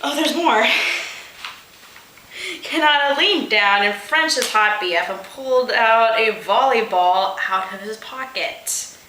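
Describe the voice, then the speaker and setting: A woman hosts a comedic read-aloud in a slightly high, very bright voice. She takes her time, sounding amused and exasperated as she drops in asides. The room around her is noticeably echoey. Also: slow pace, slight background noise. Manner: amused, breaks into giggles while reading, exasperated asides. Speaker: host of a fanfiction reading show. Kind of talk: comedic dramatic reading with asides. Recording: roomy, echoing room sound.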